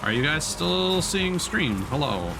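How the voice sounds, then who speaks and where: A man's voice: fairly high pitch, big pitch swings, unhurried, vocal fry, low-quality recording. A man giving casual livestream gaming commentary, chatting as a streamer and swearing casually. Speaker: game streamer. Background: game music and racing car sounds.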